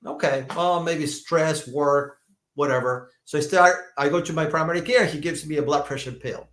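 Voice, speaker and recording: A man in his fifties, a doctor being interviewed, telling stories in a lively way, often restarting and repeating words. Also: fairly high pitch, normal speed, roomy sound. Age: 50s. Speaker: functional medicine doctor, guest. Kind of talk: conversational podcast interview.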